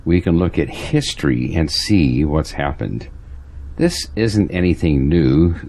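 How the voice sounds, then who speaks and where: A man's voice: very deep voice, lively intonation, unhurried, darkish tone, full character voice. An actor playing a resigned, pragmatic churchgoing man in an audio drama, talking in a matter-of-fact, pondering way. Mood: grave, resigned.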